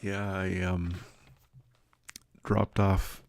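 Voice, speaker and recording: A man's voice: sits low, flat delivery, extremely slow, vocal fry. A middle-aged man hosting a devotional livestream and podcast, talking in a casual, rambling, self-deprecating way with lots of restarts.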